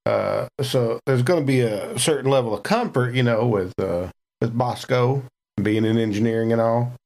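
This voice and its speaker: A player in a tabletop game, relaxed and chatty, describing his character in the third person. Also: mid-range voice, moderate pace, vocal fry. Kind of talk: casual tabletop game play.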